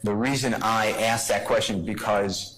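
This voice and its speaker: A man with a fairly low voice speaks as a defense attorney in court. He lays out a legal argument step by step, in a halting, explanatory manner. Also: steady tone, a bit bright, soft background music, poor audio.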